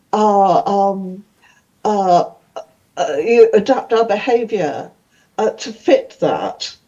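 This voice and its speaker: An older Welsh-speaking woman talks on a conference panel, thinking aloud through pauses and restarts. Her voice is dark and mellow in tone, and the recording sounds dull and lo-fi. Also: mid-range voice. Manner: thoughtful, many fillers and restarts.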